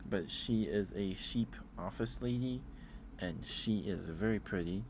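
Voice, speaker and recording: A man's voice: lowish voice, a little slow, noisy background. A man who streams ASMR, chatting casually and musing aloud in a hesitant, rambling way. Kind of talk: casual ASMR livestream chat.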